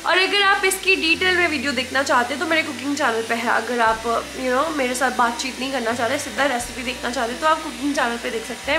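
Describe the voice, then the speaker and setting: A woman, chatting casually as she cooks and slipping English words into her talk. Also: high voice. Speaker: vlogger and home cook. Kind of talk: casual chat while cooking, mixing in English. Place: home kitchen, indoors. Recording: echoey kitchen with clear appliance noise.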